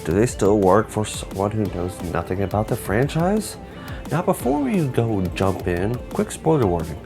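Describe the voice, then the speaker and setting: Low-voiced man hosting a scripted review. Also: very animated, moderate pace, music in the background. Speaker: host of a video game movie review show.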